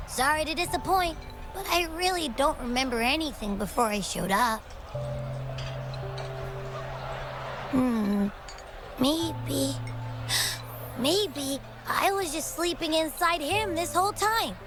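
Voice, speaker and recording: High, childlike little creature. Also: female voice, measured pace, music in the background. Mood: puzzled, thoughtful. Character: small childlike hungry echo creature, innocent and excitable.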